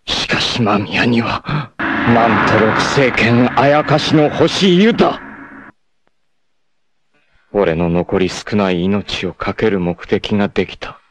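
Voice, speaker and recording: A noble, proud warrior of an 1980s anime. His low voice swings widely between hushed farewells and fierce cries, measured and unhurried. Solemn and dramatic throughout. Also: animated, a little hiss or hum. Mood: solemn reflective. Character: noble long-haired male martial artist, proud and self-sacrificing.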